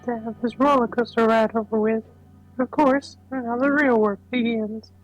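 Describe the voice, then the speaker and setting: A woman voices a First Lady from a political game. The voice is high and composed, measured yet gently assertive, with faint music behind it. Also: fairly even intonation, highs cut off, lightly acted. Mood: poised, assertive.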